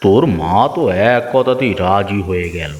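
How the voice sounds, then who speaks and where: A man's voice: fairly low pitch, lively intonation, moderate pace, darkish tone, in a large hall, lightly acted. A man voicing a kindly, doting old village grandfather in a children's cartoon, with an affectionate, folksy manner. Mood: cheerful, calling. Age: older. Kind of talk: children's moral story cartoon dubbing.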